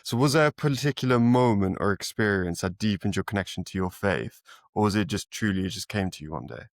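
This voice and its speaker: Low-voiced male podcast interviewer. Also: expressive, no room sound, studio quality. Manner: measured, asks open questions. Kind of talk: interview questions.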